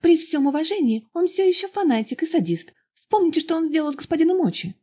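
Storybook role: narrator plays polite but indignant young woman, raised pitch, emphatic delivery. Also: big pitch swings, fast talker, dark tone. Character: excitable, earnest young woman recounting her grievances.

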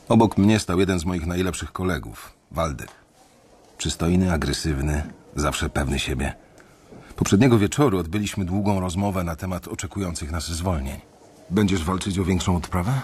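A man narrating in the first person in a radio drama, measured and reflective. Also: sits low, darkish tone, a little hiss or hum.

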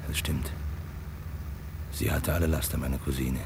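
Brooding, conscience-torn man, very low voice, halting self-talk, old radio thriller, clear car noise behind. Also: level tone. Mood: brooding, resigned. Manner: halting inner monologue, muttering to himself. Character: brooding, tormented man agonizing over reporting a murderer. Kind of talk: vintage German radio crime play acting.

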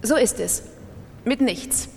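Troubled literary narrator, reverberant hall. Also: female voice, fairly high pitch, animated, takes it slowly, gravelly, slight background noise, exaggerated voice. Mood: resigned. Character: compulsive gambler narrating and defending themselves, troubled, defiant. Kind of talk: literary radio drama, dramatic reading.

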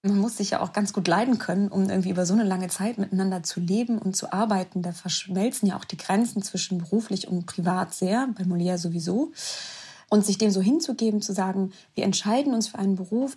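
A woman gives spontaneous explanations as an interviewed expert. Her mid-pitched voice is husky and slightly rough, and she talks conversationally with emphasis on key words. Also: warm tone.

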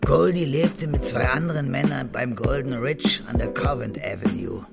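A man's voice: mid-range voice, moderate pace, gravelly. A man narrating a hard-boiled crime story as a scripted storyteller in a German radio drama. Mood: matter-of-fact. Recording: studio narration over loud music.

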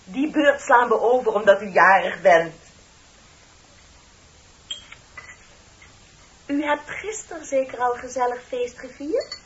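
An actress playing a chatty, flattering office secretary in her thirties in a radio play, with a polite, effusive manner. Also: a little high, moderate pace, natural voice. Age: 30s. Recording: dull, noisy old radio recording.

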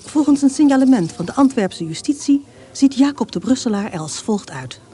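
A woman narrating a radio play, reading scripted descriptions in a measured, matter-of-fact way. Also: high voice, lively intonation, warm tone, slight background noise. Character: measured female storyteller reading descriptions and life histories.

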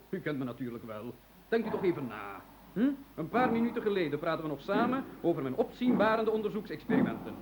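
An actor playing a smug, probing retired teacher who questions insistently, in a radio play. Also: male voice, fairly high pitch, big pitch swings, quick pace. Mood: patient. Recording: noisy old radio play recording.

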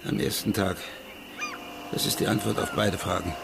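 An actor playing a troubled, guilt-ridden ex-detective who answers tersely and defensively in a German radio crime drama. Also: male voice, low voice, fairly even intonation, moderate pace, vocal fry, noisy background. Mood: subdued, matter-of-fact. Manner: terse, clipped recollection. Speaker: client being questioned. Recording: studio radio play with music underneath. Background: music.

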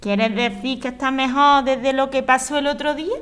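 Woman acting a blunt, guarded lover, high-pitched. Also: steady tone, normal speed, a bit bright, clean tone, soft background music. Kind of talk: amateur radio drama acting.

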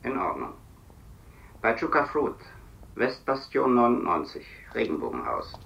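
Casting: sly male informant, radio play. Gravelly, animated, music underneath. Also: lowish voice, unhurried, far from the mic, highs cut off, slight background noise, heavily acted. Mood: sly bargaining. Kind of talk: radio drama acting.

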